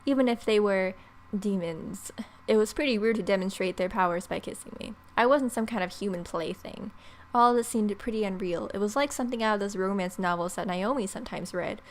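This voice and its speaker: A woman's voice: medium pitch, fairly quick. A young adult woman reading a visual novel aloud as a let's play host, with playful, wry asides.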